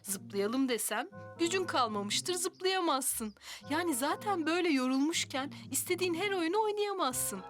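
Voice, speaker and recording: A woman voicing a gentle, patient caregiver character in a children's cartoon, reassuring as she explains. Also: very high-pitched, lively intonation, moderate pace, few pauses, faint music behind.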